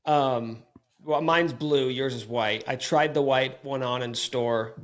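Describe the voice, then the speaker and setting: A man's voice: medium pitch, takes it slowly. A man hosting a casual sports podcast, talking in a playful, teasing, mock-bossy way. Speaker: podcast host.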